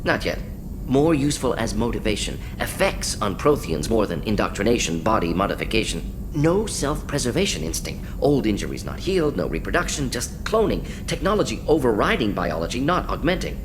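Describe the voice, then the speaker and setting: An actor playing a brisk, brilliant alien scientist in a video game, speaking in clipped, blunt fragments with small words dropped. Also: male voice, sing-song. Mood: brisk, intent. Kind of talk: video game character acting. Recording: clear rumbling noise behind voice.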